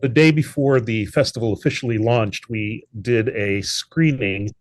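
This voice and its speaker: A man's voice: mid-range voice, a little slow. An articulate, enthusiastic man speaking conversationally as a guest in an interview. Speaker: interview guest, theatre founder and producer.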